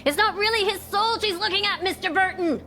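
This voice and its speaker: A woman's voice: very high-pitched, a little fast, heavy background noise. A woman playing a doctor's skittish, sardonic helper in an audio drama, plain-spoken and sarcastic. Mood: exasperated, alarmed. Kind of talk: naturalistic audio drama acting.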